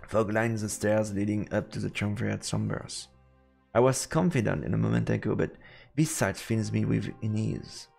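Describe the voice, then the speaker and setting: A man solemnly narrating a fantasy game on a livestream, measured and dramatic, in big reverb over music. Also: lowish voice, creaky, a little mellow. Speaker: let's play streamer narrating. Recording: echoey, long reverb, music behind. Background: background music.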